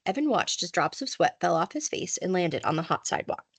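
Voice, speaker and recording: A woman's voice: lowish voice, very animated, brisk, breathy edge. A woman reading a children's book aloud expressively, like a teacher to pupils.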